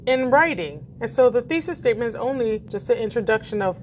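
A woman's voice: fairly high pitch, lively intonation, quick pace, dull audio, a little hiss or hum. A woman teacher hosting a school podcast, explaining ideas casually, often asking "right?" and saying "like". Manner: explanatory, asks questions, uses "like" and "right?".

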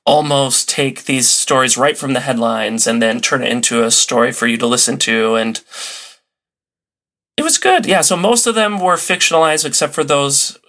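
Man, medium pitch, podcast guest, casual explanatory chat, conversational storytelling. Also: moderate pace, a bit bright. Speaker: podcast guest, old-time radio enthusiast.

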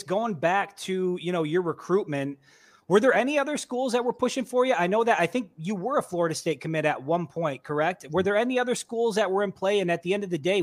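A man co-hosting a sports podcast in a loose, jokey way. His voice sits high for a man, and he laughs easily and swears casually as he asks questions. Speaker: podcast co-host.

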